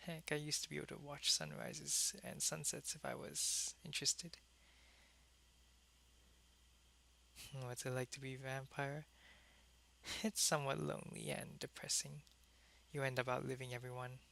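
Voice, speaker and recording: A man's voice: medium pitch, flat delivery, moderate pace, creaky, bright, forceful tone. A man playing a world-weary, lonely vampire who was once a human soldier, in an intimate, conversational ASMR roleplay. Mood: wistful.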